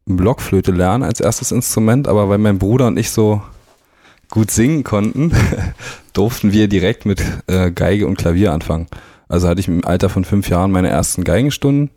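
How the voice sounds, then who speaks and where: Young man, deep and warm, casual radio guest telling stories with easy laughter. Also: moderate pace, no room sound, clean studio sound. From East Berlin, grew up in Brandenburg. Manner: relaxed, laughs often, anecdotal. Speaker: drummer, interview guest.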